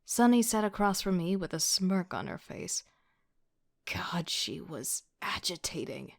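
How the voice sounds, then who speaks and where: A woman's voice: lowish voice, sing-song, a little slow, creaky, full character voice. A woman playing an agitated young student who narrates her own story in an audio drama, sardonic and self-deprecating. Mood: wry, self-conscious. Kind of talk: first-person audio drama narration with outbursts.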